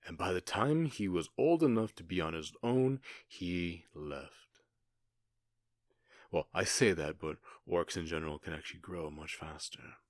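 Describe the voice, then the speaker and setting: Casting: menacing male gang boss played by a man for ASMR roleplay, low and unhurried, smug, taunting, very dry close sound. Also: no echo, full character voice. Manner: smug, taunting, drawn-out storytelling.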